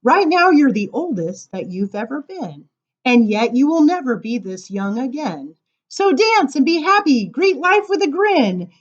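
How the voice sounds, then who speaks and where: A woman reading poems aloud to children as a storytime librarian, with animated, sing-song emphasis and casual asides. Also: high voice, unhurried, dull audio.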